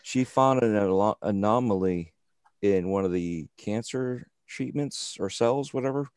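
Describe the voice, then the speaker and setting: A man's voice: on the low side, unhurried, darkish tone, clean tone, dry recording, clean studio sound. A man hosting an interview, telling rambling anecdotes full of restarts. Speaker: interview host. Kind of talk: casual interview chat over a call.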